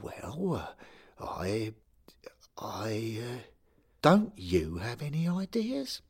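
Man doing a hesitant, soft-spoken 92-year-old. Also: medium pitch, very animated, extremely slow, slightly rough, heavily acted. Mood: soothing. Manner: hesitant, soft, stammering, fatherly. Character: gentle 92-year-old man, football club manager.

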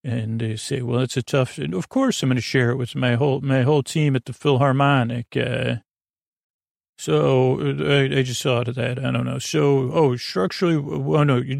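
A man hosting a sleep podcast in a casual, meandering monologue, halting and repeating himself as he drifts into tangents. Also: medium pitch, steady tone, vocal fry, dry recording, clean studio sound. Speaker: podcast host.

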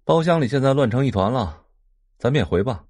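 A man's voice: medium pitch, lively intonation, a little fast, a little mellow, no room sound, close to an everyday voice. An actor playing an eager, gossipy young rich man, a loyal sidekick, in a Chinese audio drama. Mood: puzzled, casual.

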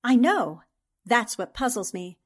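Woman doing a gutsy young newspaper gal in an old time radio mystery, slightly high voice, earnest n dramatic. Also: big pitch swings, unhurried. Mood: puzzled, uneasy. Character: determined young female newspaper reporter, brave and sharp. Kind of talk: 1940s radio mystery acting.

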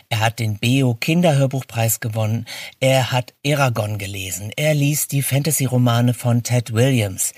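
A man's voice: mid-range voice, no echo. A man hosting a podcast, chatting informally and addressing his listeners directly as he introduces and conducts interviews.

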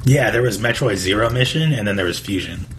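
A man's voice: on the low side, animated, fairly quick, fairly bright tone, a little hiss or hum. A man co-hosting a casual video game podcast who talks hesitantly, with many fillers. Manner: hesitant, lots of "like" and "um". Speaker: podcast co-host. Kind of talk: casual chat about video games.

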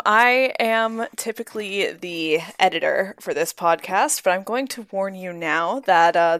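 Woman podcast host, casual and friendly, lower-pitched voice, dry close mic. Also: a bit bright, no echo. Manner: friendly, laughs often, a few ums. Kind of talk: casual chat.

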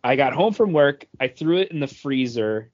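A man's voice: mid-range voice, lively intonation, moderate pace, lo-fi sound. A man co-hosting a podcast, chatting casually with his friends. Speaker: podcast co-host.

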